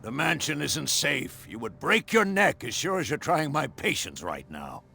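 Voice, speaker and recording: An actor playing a surly, hostile caretaker in a video game, with a gruff, threatening manner and raspy voice. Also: male voice, on the high side, big pitch swings, normal speed, bright, forceful tone, a little hiss or hum, heavily acted. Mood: stubborn, guarded. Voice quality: gravelly, raspy. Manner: gruff, threatening, old-fashioned tough slang. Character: surly, hostile male caretaker guarding a burnt mansion. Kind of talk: video game cutscene acting.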